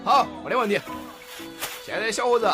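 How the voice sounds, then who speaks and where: Music plays under a man acting a folksy market seller. He rattles along quickly. It is chatty audio drama acting. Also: high-pitched, lightly acted. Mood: cheerful, brisk. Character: chatty, folksy male market vegetable vendor. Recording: music behind the voice.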